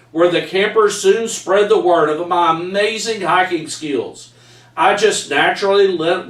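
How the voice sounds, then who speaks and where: A middle-aged Texan man reads his own story aloud with a folksy, homespun delivery. His voice sits a bit high for a man. He stands back from the camera, so the sound comes from afar, with a roomy echo. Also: recorded from a distance. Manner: folksy storyteller, says y'all. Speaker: author reading his book aloud. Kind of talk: reading a personal story aloud. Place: home room with framed pictures, indoors. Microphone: far camera. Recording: echoey room, voice from afar.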